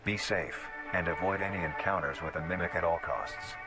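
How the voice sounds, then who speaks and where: A man narrates an analog horror documentary in a deep voice. He is formal, measured and clinical, and music plays clearly behind him. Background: background music.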